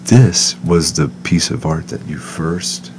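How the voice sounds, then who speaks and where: A man hosting a podcast in a studio, with a booming voice that gets loud when excited, talking in halting bursts full of fillers. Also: a little hiss or hum. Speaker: podcast host. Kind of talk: casual interview chat. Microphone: close to a studio mic.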